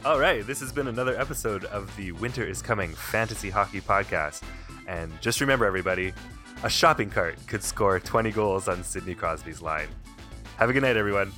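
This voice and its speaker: A man's voice: lowish voice, fairly quick, bright, forceful tone, soft background music. A man hosting a hockey podcast, chatting casually with a guest and laughing often as he tells stories. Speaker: podcast host. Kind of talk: casual chat.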